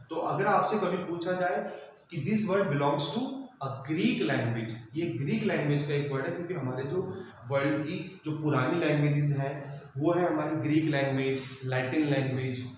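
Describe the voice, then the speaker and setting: A man in a classroom lecture walks students through civics ideas, repeating each point and calling them "beta". His voice sits a little high for a man. The recording rings with heavy room echo over faint background noise. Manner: explanatory, repeats points, calls students "beta", mixes English terms into Hindi. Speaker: school teacher.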